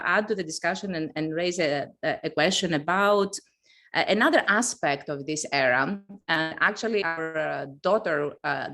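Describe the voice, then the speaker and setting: A middle-aged woman from Greece, commenting from the audience in a hesitant, pause-filled way. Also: low-pitched, a bit bright. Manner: hesitant, pausing often. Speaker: audience member asking a question. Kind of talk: spontaneous comment in a discussion.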